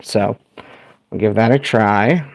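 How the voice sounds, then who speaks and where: Gravelly-voiced man demoing radios. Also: fairly low pitch, slow pace, dry recording. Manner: relaxed, joking, explains gear step by step. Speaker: radio hobbyist vlogger. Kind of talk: casual show-and-tell.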